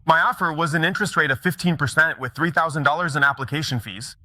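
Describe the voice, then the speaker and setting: Middle-aged guy telling stories at a conference, keeps asking the crowd "okay?", really bright tone, slightly high voice. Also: quick pace. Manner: chatty, checks in with the audience. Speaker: mortgage broker giving a conference talk. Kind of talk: conversational conference storytelling.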